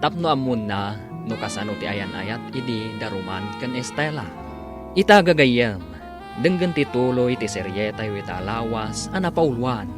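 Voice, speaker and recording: Loud music plays behind a male radio drama announcer. He has an Ilocano accent and a mid-pitched voice. He narrates from a script with theatrical emphasis. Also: animated, normal speed. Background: music under the voice.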